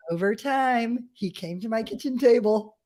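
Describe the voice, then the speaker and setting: A middle-aged woman from Ethiopia, telling personal stories as an interview guest in a candid, animated way with self-deprecating humour. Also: on the high side, a little slow. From grew up in Ethiopia as a missionary kid. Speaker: podcast guest. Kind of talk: conversational personal storytelling.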